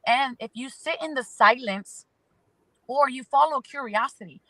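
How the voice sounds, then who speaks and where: A woman's voice: sits high, measured pace, sharp tone, no room sound, rough recording. A woman appearing as an astrology guest, giving spiritual advice in an emphatic way that stacks phrases into lists.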